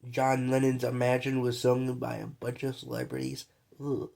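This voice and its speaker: A man's voice: medium pitch, completely flat delivery, normal speed, gravelly, a little mellow. A young man who is sick and coughs now and then, talking in a loose, rambling, slangy vlog monologue full of fillers. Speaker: vlogger.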